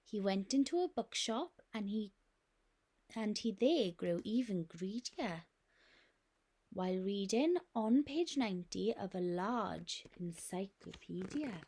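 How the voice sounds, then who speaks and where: A nursery teacher reading a picture book aloud to young children in a gentle, expressive way. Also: female voice, mid-range voice, slow, slightly rough.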